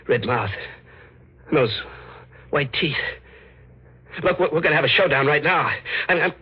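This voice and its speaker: Hard-boiled convict, old radio mystery. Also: male voice, medium pitch, breathy edge, heavily acted. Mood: agitated. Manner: hard-boiled slangy talk, stammering when rattled. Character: tough, frightened male escaped convict narrating his nightmare. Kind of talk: 1940s radio mystery acting. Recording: dull old radio recording, loud music behind.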